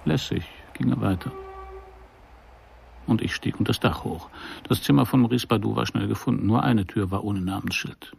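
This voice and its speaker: An actor playing a wry, world-weary private detective in a noir radio play, with a dry, sardonic delivery. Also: male voice, fairly low pitch, normal speed, vocal fry, darkish tone, husky, full character voice. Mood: dry, observant. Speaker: narrator and lead of a crime radio play. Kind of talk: first-person noir radio drama narration.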